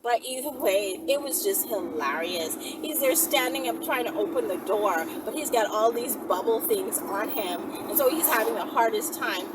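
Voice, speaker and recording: Female vlogger, high lively voice, chatty and excitable storyteller, recorded at a distance over loud vehicle noise. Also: moderate pace, few pauses. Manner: animated, giggly, exclamatory. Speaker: vlog host. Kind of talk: casual chatty storytelling to viewers.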